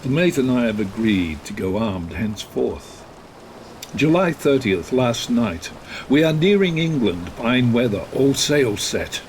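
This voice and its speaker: Horror audio drama: a devout, haunted ship's captain, unhurried, dramatically varied intonation, over noisy ambience. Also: male voice, medium pitch, very animated. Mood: grave. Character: weary, fearful, devout ship's captain writing his log. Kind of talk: dramatic radio-play log narration.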